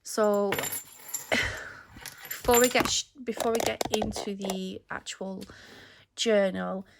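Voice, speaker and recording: A woman giving a casual, unscripted show-and-tell in a chatty, halting way, her voice slightly strained as if starting to go. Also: mid-range voice, steady tone, creaky, noisy background. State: slightly losing her voice, clearing throat. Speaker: crafter presenting her handmade journal.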